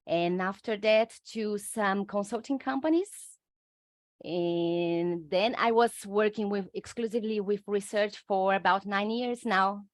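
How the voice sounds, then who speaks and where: A middle-aged Brazilian woman, a native Portuguese speaker, answering interview questions in English with a Brazilian accent, careful and measured, with frequent hesitations. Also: medium pitch, no echo, clean studio sound. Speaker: UX researcher guest.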